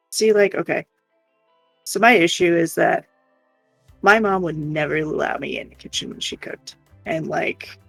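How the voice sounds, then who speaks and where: A woman's voice: fairly low pitch, gravelly, dry recording. A woman co-hosting a livestream in casual, rambling chat. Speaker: livestream co-host.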